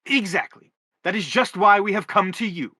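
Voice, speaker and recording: A man playing an earnest high-voiced philosophizing father. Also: expressive, moderate pace, bright, forceful tone, no room sound, clean studio sound, heavily acted. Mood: eager, insistent. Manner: formal, eloquent, pleading. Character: earnest, philosophizing father character, insistent and courteous. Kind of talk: stage play acting for radio.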